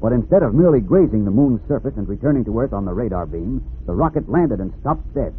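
A man's voice: mid-range voice, animated, fast. An American man narrating a 1940s radio serial in a dramatic, declamatory announcer's manner. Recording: vintage radio, narrow band, faint noise.

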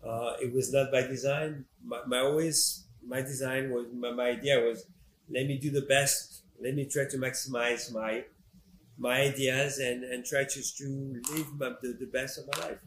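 French-accented man, halting, in an echoey room. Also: medium pitch, level tone. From Morocco and France. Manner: halting, repeats phrases. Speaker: fashion designer, interview guest. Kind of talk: conversational interview. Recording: echoey room with faint background noise.

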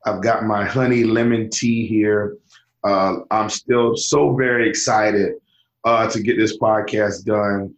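Hoarse low-voiced man on a podcast. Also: steady tone, takes it slowly, echoey room, slight background noise, rough recording. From US East Coast. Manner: eager, stammering restarts. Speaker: podcast guest analyst. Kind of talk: fantasy basketball podcast chat.

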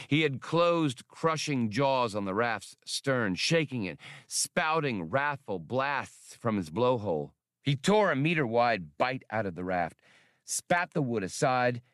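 Slow, expressive male audiobook narrator. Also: medium pitch, dry recording, studio quality. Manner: deliberate, expressive. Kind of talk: dramatic scripted narration of an adventure story.

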